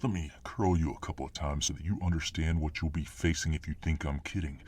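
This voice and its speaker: A man playing a gruff, protective, muscular fireman boyfriend full of tough-guy bluster in an ASMR roleplay. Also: extremely low pitch, steady tone, brisk, darkish tone. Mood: menacing.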